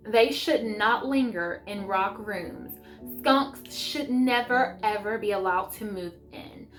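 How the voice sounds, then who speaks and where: A woman's voice: mid-range voice, slow pace. A woman vlogging as a homeschool mom, talking casually and reading aloud in an enthusiastic, chatty way. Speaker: homeschool mom sharing book picks. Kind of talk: casual vlog chat with read-aloud passages. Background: music.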